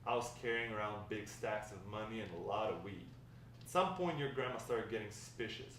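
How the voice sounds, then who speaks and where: A man's voice: lowish voice, a little fast, a bit bright, natural voice. Echoey, distant man reading as a reminiscing grandfather. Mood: rueful, confiding. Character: grandfather fondly recounting his wild, lovestruck youth. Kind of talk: table read of a dramatic script. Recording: echoey room, distant mic, faint noise.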